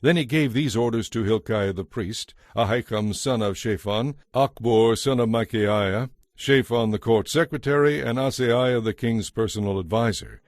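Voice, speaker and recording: A man narrating scripture from a script in a measured, formal storytelling manner. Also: medium pitch, no echo, studio quality.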